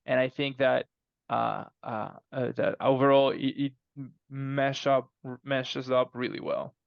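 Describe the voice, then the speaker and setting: A man answering conversationally as a podcast guest, halting and repeating words as he starts phrases. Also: medium pitch, flat delivery, vocal fry, no echo, lo-fi sound. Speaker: podcast guest, fiction co-author.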